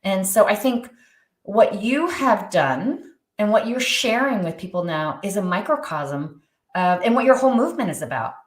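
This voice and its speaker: A middle-aged woman, a guest expert on movement and wellbeing, speaking in an animated, emphatic conversational way with frequent restarts. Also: mid-range voice, normal speed, roomy sound. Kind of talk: conversational interview.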